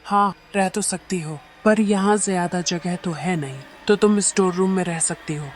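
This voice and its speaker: A woman's voice: fairly low pitch, moderate pace, faint music behind, natural voice. A woman voicing a worried young wife in a cartoon, insistent and frightened about her new maid. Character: anxious young wife Shanti. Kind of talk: cartoon voice acting.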